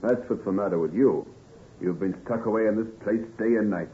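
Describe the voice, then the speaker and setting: An actor playing a sensible, protective gentleman friend, brisk, solicitous and commanding, in an old-time radio drama. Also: on the low side, slight background noise, close to an everyday voice. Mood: brisk, reassuring. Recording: tinny, band-limited vintage radio recording.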